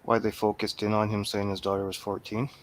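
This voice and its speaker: Low-voiced man in casual film chat. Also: monotone, brisk. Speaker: film review panelist. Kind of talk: casual movie review chat.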